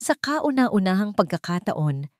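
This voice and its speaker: A woman's voice: medium pitch, very animated, a little slow, a little mellow, dry recording, studio quality. A woman reading a scripted dramatic story as its narrator.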